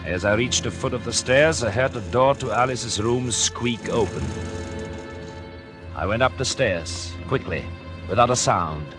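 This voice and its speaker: An American actor playing a calculating, murderous doctor in an intense, deliberate first-person narration for a 1940s radio suspense drama. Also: male voice, medium pitch, lightly acted. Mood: tense, stealthy. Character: calculating murderous husband, a doctor, smug then horrified. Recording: 1940s radio recording with music behind.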